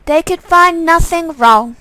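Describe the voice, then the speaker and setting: A woman's voice: extremely high pitch, measured pace, sharp tone, no echo, pitched above the performer's own voice, full character voice. A woman voicing a troubled, sardonic young woman in a visual novel, anxious and incredulous in manner. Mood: weary, incredulous.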